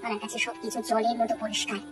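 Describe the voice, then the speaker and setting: A woman's voice: on the high side, level tone, fast talker, far from the mic, close to an everyday voice. A woman voicing a righteous, resolute wife in a cartoon drama, firm and accusing. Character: righteous, resolute wife confronting a crooked man. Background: music.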